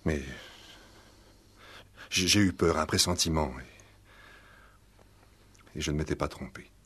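Nervous, husky, deep-voiced suspect. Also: male voice, fairly bright tone, heavily acted. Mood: halting, confessing. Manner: hesitant, halting, repeats words. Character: nervous, evasive professional man under suspicion. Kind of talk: 1960s French radio play acting.

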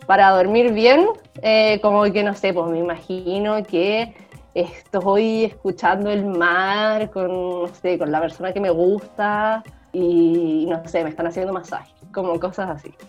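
A woman's voice: medium pitch, fairly even intonation, measured pace, darkish tone. A woman with a Chilean accent co-hosting a podcast, explaining things in a casual, chatty way. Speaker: podcast co-host. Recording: dull lo-fi audio, music underneath.

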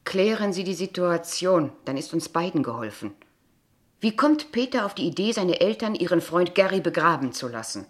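An actress in a German radio play as a polite, probing kindergarten teacher, speaking in a measured, formal, pointed way. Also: female voice, lowish voice, very animated. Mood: pressing. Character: polite, insistent, probing kindergarten teacher, a young unmarried woman.

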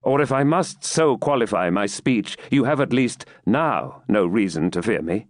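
A man's voice: lowish voice, lively intonation, normal speed, no room sound, studio quality, close to an everyday voice. A man voicing a proud, brooding medieval knight in an audiobook, with grave, formal, archaic declamation. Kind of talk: dramatised audiobook reading.

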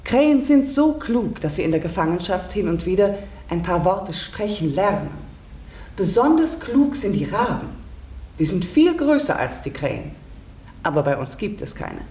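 An actress playing a village schoolteacher in a German radio drama, articulate and measured, stern yet gentle. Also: female voice, mid-range voice, sing-song, natural voice. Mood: brisk, commanding. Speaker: actor in a radio play. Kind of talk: German radio drama, narration and classroom dialogue.

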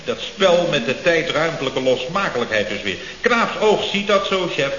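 An actor playing a pretentious, earnest would-be art filmmaker in a radio comedy, explaining things in pompous jargon. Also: male voice, a little high, expressive, normal speed, pushed and bright. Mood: earnestly pretentious. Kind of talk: 1970s radio comedy acting. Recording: echoey, dull old radio recording with hiss.

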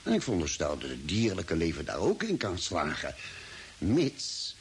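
An actor playing an earnest spaceship doctor in an old radio play, explaining deliberately with dramatic pauses. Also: male voice, low voice, wildly swooping pitch. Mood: grave, pondering. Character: earnest spaceship doctor, reasoning out a grim theory. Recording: hissy vintage radio drama recording.

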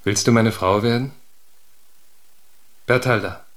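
A man's voice: medium pitch, fairly even intonation, slow pace, a bit bright. A man playing a troubled knight who moves between bitter outbursts and weary grief. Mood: mournful. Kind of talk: radio drama acting.

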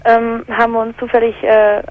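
A teenage girl from England phones a radio show with a personal account. Her voice sits a little high. She speaks hesitantly, pausing to search for her words. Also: monotone, poor audio. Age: teens. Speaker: caller.

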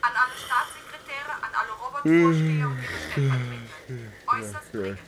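A woman's voice: low-pitched, expressive, moderate pace, over loud music. An actress playing an urgent female ministry announcer in a German radio play, formal and insistent, heard from a distance. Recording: distant from the microphone.

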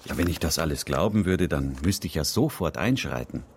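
A senior ministry official in a radio drama. His low voice is officious and dismissive, full of condescending scepticism, with clear noise behind it. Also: expressive, a little fast. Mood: sceptical.

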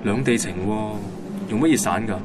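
A man's voice: on the low side, moderate pace, noisy background, close to an everyday voice. An actor playing an easygoing, caring young man with a touch of regret, speaking with a Hong Kong accent in a naturalistic radio drama. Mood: regretful.

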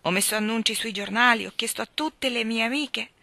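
A weary, anxious mother speaks softly and haltingly, voiced by a woman narrator. The tone stays bright and close, with a dry sound. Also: fairly high pitch, gravelly, dry recording, voice pushed up. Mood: despairing. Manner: soft, hesitant.

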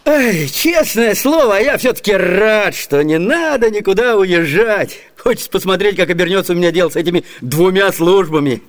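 A scatterbrained sly servant in an old radio comedy, really high voice, flustered and stammery, always wheedling. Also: male voice, expressive, moderate pace, hardly any pauses. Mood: musing. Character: scatterbrained, crafty, hungry young servant, comic male trickster. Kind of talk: theatrical 1980s Soviet radio comedy acting.

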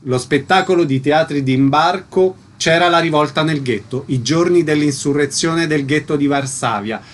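A man hosting a radio programme, reading announcements and putting questions in a measured announcer's manner. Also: a little high. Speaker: radio host. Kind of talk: scripted radio announcing and interviewing.

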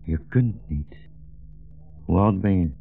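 An actor playing a weary, gentle old blind rabbi in a 1960s radio play, speaking in a solemn, wry, rhetorical way. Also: male voice, low voice, expressive, slow, creaky, no echo, full character voice. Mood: sad, tender. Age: older. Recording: dull 1960s radio recording, music behind.

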